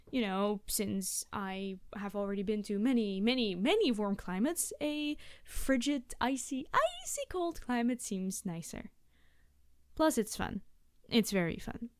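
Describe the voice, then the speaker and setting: A woman acts out a sweet young biologist in an ASMR roleplay. Her voice is high and very smooth, recorded dry and close. She is a friendly animal enthusiast who explains eagerly, with small hesitations, straight to the listener. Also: no echo. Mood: chatty, curious. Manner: gushing, hesitant, chatty explaining.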